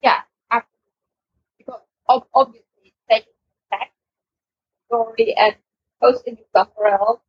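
A woman co-hosting a podcast in casual discussion, speaking in a halting, agreeable manner. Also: fairly high pitch, fairly even intonation, slightly rough, no echo, dull audio. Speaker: podcast co-host.

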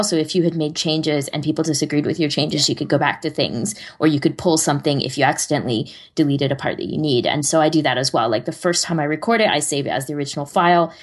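A woman with a notably low-pitched voice talks casually as a podcast co-host. She explains things step by step in an informal, conversational way, drifting into loose asides. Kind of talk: casual chat.

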